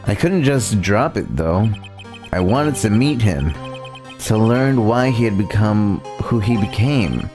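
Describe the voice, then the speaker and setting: A man voicing an earnest, loyal young male defense attorney from a video game, reading a little haltingly. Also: lowish voice, animated. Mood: earnest, determined. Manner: halting, stumbling over lines. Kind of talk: reading visual novel game dialogue aloud. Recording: music playing behind the voice.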